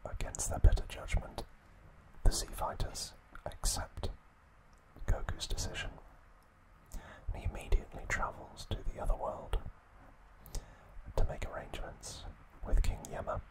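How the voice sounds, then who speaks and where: Slow whispering man, ASMR style. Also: low-quality recording. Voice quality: breathy, unvoiced whisper. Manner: slow, hushed, halting delivery with gentle pauses. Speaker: ASMR host. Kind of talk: whispered ASMR trivia recap.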